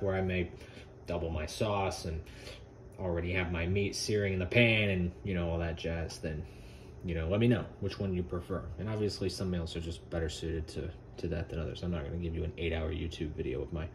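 Young guy, really low voice, chatting loosely while cooking at home, noisy kitchen audio. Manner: relaxed, rambling, many fillers. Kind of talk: casual cooking commentary.

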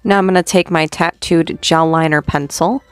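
A young woman with a somewhat low voice, a little sore and scratchy, chatting in a relaxed, self-deprecating way as she does her makeup. She talks close to a small desk mic in a dry, dead-sounding bedroom, with faint music underneath. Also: normal speed, clean tone, dry recording. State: slightly sore voice. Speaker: makeup vlogger. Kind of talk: casual get-ready-with-me chat. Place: small bedroom with red curtain backdrop. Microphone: small desk mic on boom arm close up.

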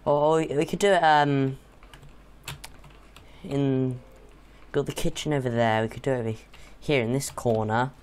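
Young man, a bit croaky, teasing gamer. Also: mid-range voice, steady tone, a little slow, pauses often, darkish tone. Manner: chatty, teasing, hesitant with frequent ums. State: sore throat, a bit croaky. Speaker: co-host playing a game with his father. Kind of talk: casual gaming chat.

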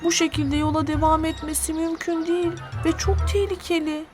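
A woman narrating an educational children's cartoon, addressing young viewers in a didactic, encouraging, clearly articulated way. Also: extremely high pitch, flat delivery, brisk. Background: music.

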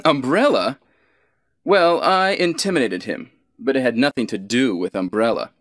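A man's voice: medium pitch, expressive, no room sound, clean studio sound, close to an everyday voice. Smug, cold villainous commander played by a man. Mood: cold, menacing. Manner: menacing, deliberate, condescending. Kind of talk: stilted video game cutscene acting.

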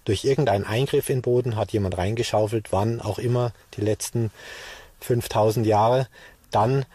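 A man gives a plain spoken explanation as an interviewed scientist. His voice is dark and husky, recorded close and dry. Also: fairly low pitch, fairly even intonation, moderate pace, no echo.

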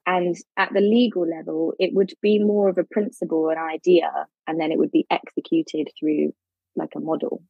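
A woman's voice: low-pitched, flat delivery, normal speed, gravelly, slightly rough, no echo, lo-fi sound. A woman, a legal academic, explaining policy with everyday analogies and frequent fillers in a podcast discussion.

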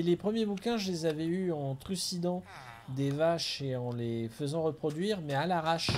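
A man's voice: medium pitch, a little mellow. A middle-aged man chatting casually as he streams a building game, thinking aloud and chuckling often. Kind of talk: casual gameplay commentary.

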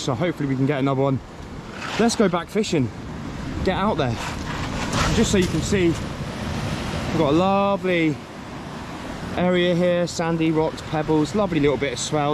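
A man talking casually and enthusiastically in slang as a fishing vlogger, recorded outdoors on a rainy seashore with waves behind him. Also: on the high side. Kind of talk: casual vlog commentary to camera. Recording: loud surf, wind and rain noise. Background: waves and surf.

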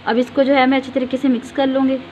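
A woman's voice: high voice, completely flat delivery, fast, darkish tone, slight background noise. A woman hosting a home cooking video, patiently walking viewers through each step and pointing out what to look at. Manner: patient, repeats fillers often, directs viewer to look. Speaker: home cooking channel host.

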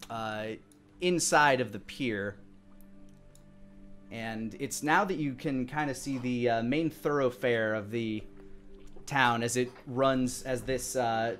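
Male game master, thirties, improvising narration with searching pauses over faint music. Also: mid-range voice. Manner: halting, searching delivery.

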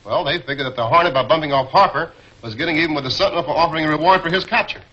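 An actor playing an eager, streetwise newspaper reporter in a 1940s crime serial. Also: male voice, a little high, racing, bright, forceful tone, slight background noise. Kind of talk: 1940s film serial acting. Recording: dull 1940s film soundtrack.

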